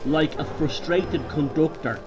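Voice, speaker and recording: A man with a Dublin Irish accent narrating a horror tale in a deliberate, theatrical pub-yarn manner. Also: a little high, muffled recording, music in the background. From Ireland. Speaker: storyteller. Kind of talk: dramatic horror story narration.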